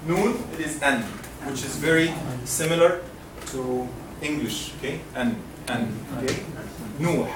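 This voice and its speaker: A man's voice: a little high, a little slow. A man from Egypt teaching Quran recitation to a class, patiently modeling each sound and having students repeat it. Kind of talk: classroom lesson with call-and-response drills. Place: lecture classroom. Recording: roomy classroom, mic far off, noisy.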